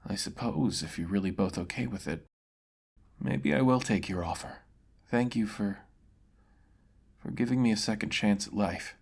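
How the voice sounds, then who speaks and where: A man's voice: fairly low pitch, moderate pace, gravelly, long pauses, slightly rough. A man voicing an honorable, remorseful male mercenary who speaks in a grave, formal, subdued way in a fantasy story. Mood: hesitant.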